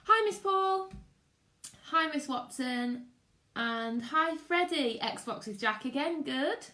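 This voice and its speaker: A woman's voice: high voice, lively intonation, takes it slowly, sharp tone. A British woman, a primary school teacher, chatting casually on a livestream and greeting viewers in a chirpy, sing-song way. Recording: roomy, echoey room sound.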